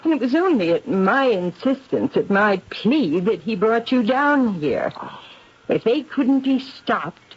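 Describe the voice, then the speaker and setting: Guilt-ridden titled English lady, husky, expressive swoops, refined and earnest, vintage radio drama. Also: on the high side, a little slow, exaggerated voice. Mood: guilt-ridden, pleading. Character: anxious, guilt-ridden aristocratic English lady, occult dabbler. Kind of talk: 1970s radio drama acting. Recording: dull vintage radio broadcast.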